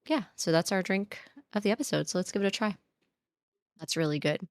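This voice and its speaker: A woman's voice: medium pitch, fairly quick, no echo. A woman co-hosting a book podcast, chatting casually and upbeat with lots of fillers, sounding nasal from a cold. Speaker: podcast co-host.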